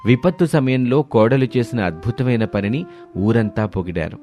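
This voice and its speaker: A man's voice: medium pitch, normal speed, mellow, faint music behind. A man narrating a scripted moral story as its storyteller.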